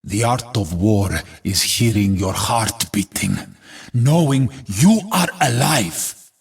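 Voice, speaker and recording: An actor playing a stoic, battle-scarred warrior in a video game, solemn and defiant, with a rough-edged husky voice and measured, declamatory delivery. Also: male voice, fairly low pitch, lively intonation, exaggerated voice. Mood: grim resolve. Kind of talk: heroic video game voice acting.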